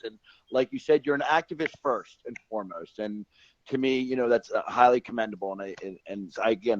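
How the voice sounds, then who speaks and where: A man's voice: medium pitch, muffled recording, slight background noise. A man hosting an online talk show, rambling with many restarts. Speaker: host.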